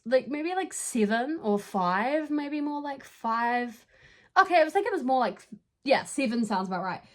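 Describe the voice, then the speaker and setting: A young woman with a New Zealand accent telling a story in a casual, chatty way, using informal slang as a vlogger. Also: fairly high pitch. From New Zealand, from Dunedin.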